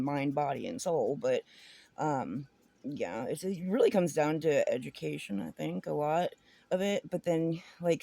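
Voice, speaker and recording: A woman's voice: low-pitched, dark tone, dry recording. A woman talking casually and bluntly in an informal, rambling way, sharing her opinions. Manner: blunt, informal, giggles often between phrases. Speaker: vlogger giving opinions.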